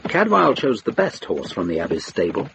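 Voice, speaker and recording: Male narrator, radio drama: literary, deliberate phrasing, lively intonation, noisy ambience behind. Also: fairly low pitch, vocal fry.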